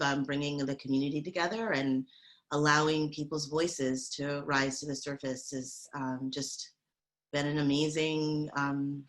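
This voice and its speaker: A woman speaking as a panelist in a hesitant way, with many pauses, restarts and fillers. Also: deep voice, a bit bright. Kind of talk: reflective panel talk.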